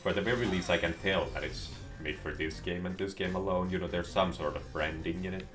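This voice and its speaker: Deep-voiced sarcastic game streamer man. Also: steady tone, brisk, over music. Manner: sarcastic, joking. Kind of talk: gameplay commentary.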